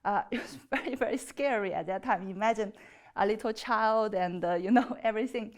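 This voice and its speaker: A woman in her thirties from China with a Chinese accent, giving an earnest, slightly hesitant personal pitch. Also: brisk. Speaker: property developer pitching as a contestant. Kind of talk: personal pitch and chat on a TV show.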